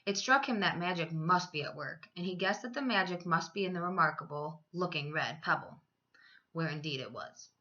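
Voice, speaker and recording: A woman teacher reading a children's storybook aloud with expressive, emphatic phrasing. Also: lowish voice, moderate pace, muffled recording.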